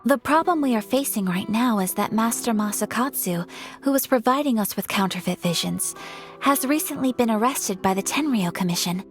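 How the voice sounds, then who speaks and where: A composed young noblewoman played by an actress who speaks in measured, formal sentences. Her voice sits a little high, with faint game music beneath it. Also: animated, natural voice. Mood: calm. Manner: formal, measured, elaborate speech. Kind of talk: game voice acting.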